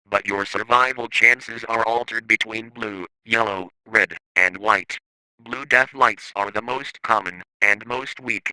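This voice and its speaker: Bright, forceful man narrating analog horror. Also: mid-range voice, smooth, no room sound, rough recording.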